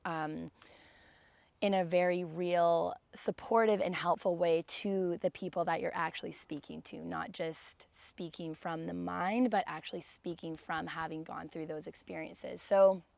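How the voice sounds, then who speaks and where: Woman, yoga teacher, raspy, lowish voice, dry recording. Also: a little slow, no echo. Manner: calm, gentle guidance with soft sighs. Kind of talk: guided yoga class.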